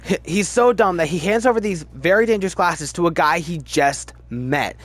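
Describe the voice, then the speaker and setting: A man's voice: high-pitched, moderate pace, a bit bright, faint music behind. A man giving casual, opinionated review commentary as a video host, talking emphatically and often stammering and repeating words. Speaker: video host ranking films.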